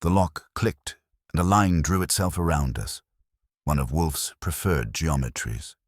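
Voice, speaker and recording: A man reading a first-person detective story as a scripted narration, measured and deliberate in short literary phrases. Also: a bass voice, lively intonation, no room sound, studio quality. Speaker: audiobook narrator.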